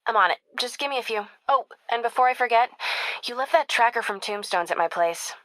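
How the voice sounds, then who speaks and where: An actress with an American accent playing a caring, determined young reporter in a video game, speaking in an earnest, conversational, confiding way. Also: female voice, a little high, expressive, a little fast, fairly bright tone, no echo. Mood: supportive. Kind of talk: naturalistic video game acting.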